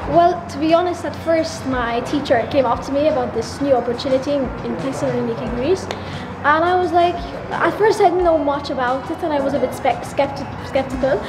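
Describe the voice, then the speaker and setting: A high-pitched teenage girl from Malta talks casually about joining a student program, stumbling over words and restarting often. Loud music plays beneath her voice. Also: a little fast, roomy sound, poor audio. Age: teens. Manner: hesitant, with restarts and "like". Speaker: student participant. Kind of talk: casual interview answer.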